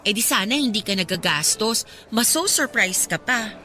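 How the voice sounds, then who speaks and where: A woman's voice: medium pitch, animated, normal speed, bright, forceful tone. Actress playing an earnest young Filipino woman, faint music. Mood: conversational, curious. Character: young working woman confiding a recent breakup, subdued. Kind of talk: radio drama dialogue.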